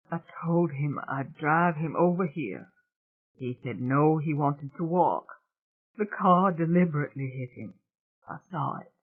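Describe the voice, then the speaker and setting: A narrator voicing a distraught aunt in grief and shock, breathy and gasping, in an audiobook story with thin, phone-like sound. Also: mid-range voice, natural voice. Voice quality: breathy, dry, barely audible at times. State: crying, gasping.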